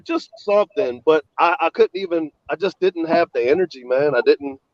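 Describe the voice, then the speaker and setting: A man's voice: on the high side, normal speed, dry recording, lo-fi sound. A man hosting a casual chat, congested and sniffly as he recovers from illness, rambling through his stories. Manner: rambling, relaxed storytelling. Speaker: host.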